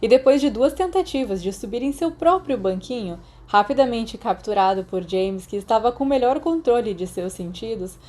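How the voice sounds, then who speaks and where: A woman's voice: on the high side, animated, normal speed, few pauses, darkish tone. A woman with a Brazilian accent reading scripted story narration, as in an audiobook. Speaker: audiobook narrator of a fan fiction podcast.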